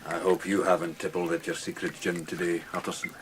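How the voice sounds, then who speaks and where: An actor playing an older Scottish doctor, dry, measured and teasing among old friends, in a radio drama. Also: male voice, low-pitched, level tone, background noise, lightly acted.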